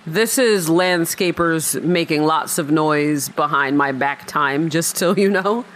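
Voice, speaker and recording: A woman's voice: low voice, slow, a bit bright, slight background noise. A woman hosting a game playthrough with casual commentary, playful, irreverent and chatty. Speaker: gamer host of a playthrough.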